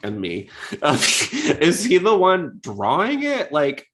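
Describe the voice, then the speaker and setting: A man's voice: a little high, huge pitch swings, takes it slowly, noisy background. A man hosting a podcast in a casual, inquisitive, conversational way. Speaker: podcast host.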